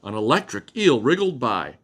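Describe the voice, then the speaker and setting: A man reading a children's picture book aloud as a storytelling father, expressive and explaining things to a child. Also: mid-range voice, normal speed.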